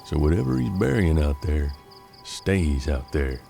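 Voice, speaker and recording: An actor playing a seasoned, gruff frontier detective in a western audio drama, measured and world-weary, with a gravelly voice. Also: male voice, extremely low pitch, big pitch swings, dark tone, soft background music, heavily acted. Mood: grave, watchful.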